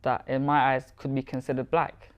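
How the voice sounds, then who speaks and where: Candid male guest, middle pitch. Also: normal speed, smooth, dull audio. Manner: relaxed, candid, plainspoken. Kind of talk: casual conversation.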